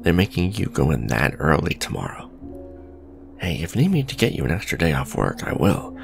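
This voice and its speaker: Casting: male actor for smug businessman villain, romantic ASMR drama; gravelly fry, expressive intonation, dry conversational wit, faint music underneath. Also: a bass voice, a little fast, exaggerated voice. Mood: casual, warm. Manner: sardonic, conversational, sighing and teasing. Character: smug male villain, suave luxury fashion businessman on a date.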